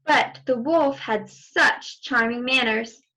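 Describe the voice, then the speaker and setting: Woman storybook reader, unhurried pace, slightly high pitch, expressive narration, asides to children. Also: rough recording. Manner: expressive, pausing for asides to young listeners.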